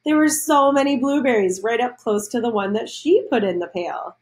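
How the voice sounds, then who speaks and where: A woman's voice: fairly high pitch, lively intonation, normal speed, darkish tone. A woman reading a children's storybook aloud, adding explanations and questions for young listeners.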